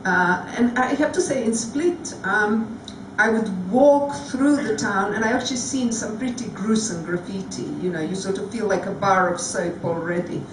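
A woman's voice: mid-range voice, moderate pace, few pauses, distant mic, noisy background. A woman with a Belgrade Serbian accent speaking frankly and reflectively on a discussion panel. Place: large theatre stage before an audience. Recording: echoey hall recorded from afar.

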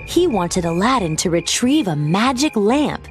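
A woman reading a children's storybook aloud as narrator, with expressive scripted storytelling delivery. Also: medium pitch, measured pace. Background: background music.

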